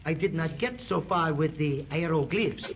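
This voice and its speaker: An actor with a French accent playing an earnest, loyal naval lieutenant in a 1930s radio serial, on a dull old recording. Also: male voice, a little high, moderate pace, a little hiss or hum, close to an everyday voice.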